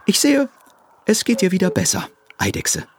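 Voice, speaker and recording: A man's voice: moderate pace, slight background noise. A man doing a kindly, cheerful hare. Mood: caring, cheerful. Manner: high, bright, lively. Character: gentle caring male hare.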